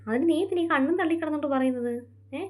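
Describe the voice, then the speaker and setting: A woman's voice: high-pitched, racing, lightly acted. A young woman playing a busy mother in a home-made family skit, fussing and scolding. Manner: fussy, nagging, scolding. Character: busy mother who runs a beauty parlour.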